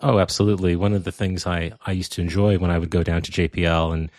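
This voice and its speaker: A man co-hosting a casual podcast, talking in a measured, agreeable way. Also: low voice, little pitch movement, a little mellow, dry recording, clean studio sound. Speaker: podcast co-host. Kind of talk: casual chat.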